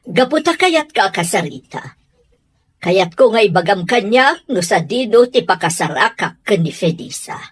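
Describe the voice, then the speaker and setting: A stern, disapproving middle-aged Filipina aunt in an Ilocano radio drama. Her mid-range voice is husky, and she presses her point with disdain. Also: mid-range voice, very animated, normal speed. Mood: controlled.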